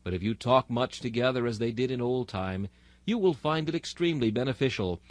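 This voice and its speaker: A man's voice: medium pitch, fairly quick, dry recording. Solemn man delivering a dry, close sermon. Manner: solemn, exhorting, oratorical. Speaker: narrator reading a historical sermon. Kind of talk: dramatic sermon reading.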